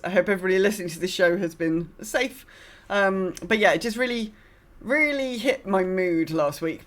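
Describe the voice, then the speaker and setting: A British woman hosting a podcast in casual chat, rambling and hesitant as she talks. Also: lowish voice. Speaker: podcast host.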